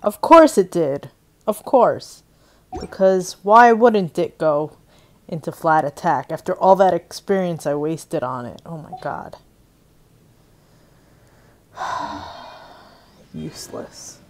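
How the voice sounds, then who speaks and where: A woman's voice: on the low side, animated, slow pace, pauses often, darkish tone, a little hiss or hum. A woman giving casual gameplay commentary as she plays, muttering sarcastically. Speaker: game player. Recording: game music behind.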